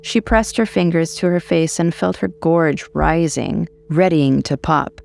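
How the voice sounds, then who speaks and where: A woman's voice: sits low, measured pace, creaky, darkish tone. A woman reading a horror short story as scripted narration.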